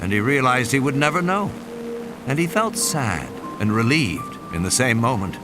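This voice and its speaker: Man narrating a dramatic audiobook, very animated. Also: mid-range voice, normal speed. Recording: music under the voice. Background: music and sound effects.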